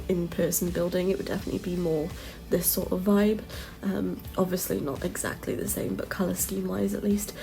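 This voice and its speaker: A woman's voice: mid-range voice, creaky. A woman chatting casually in a voiceover over her game build, slightly nasal from hay fever, with lots of ums and little laughs. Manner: chatty, rambling, frequent ums and soft laughs. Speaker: Sims builder and content creator. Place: home room with street traffic outside. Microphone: home voiceover mic.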